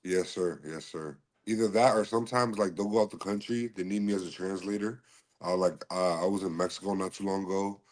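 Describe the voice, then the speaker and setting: A man from Massachusetts answering interview questions in a polite, easygoing way, often saying "yes sir" and pausing on fillers. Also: low-pitched, fairly even intonation. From Massachusetts, USA. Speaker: podcast guest, slap fighter and bodyguard.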